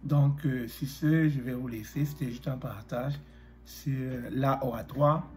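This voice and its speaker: A man with a cold talking to his viewers about fear of public speaking, pausing often with fillers and clearing his throat. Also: mid-range voice, steady tone, a little mellow. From Africa. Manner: hesitant, many fillers, direct address. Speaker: YouTuber. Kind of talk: motivational talk to camera.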